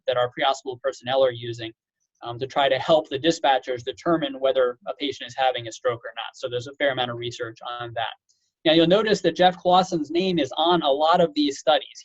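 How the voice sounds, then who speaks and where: Man lecturing informally, slightly high, muffled audio. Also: no room sound. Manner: conversational, explanatory, with casual asides. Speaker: physician lecturer, medical director.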